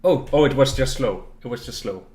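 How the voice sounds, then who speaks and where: A man's voice: medium pitch, lively intonation, brisk, darkish tone. A man from Denmark, a native Danish speaker, chatting politely and casually while streaming a game, often restarting his words. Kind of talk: casual live-stream chat while gaming. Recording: game music playing behind.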